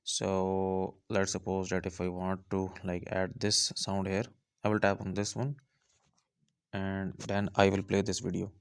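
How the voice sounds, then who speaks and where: Low, flat-voiced man explaining app steps. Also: unhurried, clean tone, dry recording. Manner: plain step-by-step explaining with fillers. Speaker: tutorial host. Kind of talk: screen-recorded app tutorial.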